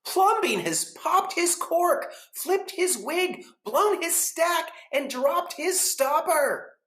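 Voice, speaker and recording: Indignant storybook neighbour: very high, strained, drawn-out scolding. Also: male voice, lively intonation, fairly bright tone, roomy sound, heavily acted. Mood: mocking, scandalized. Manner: drawn-out, exaggerated complaining. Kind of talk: children's storybook character voice.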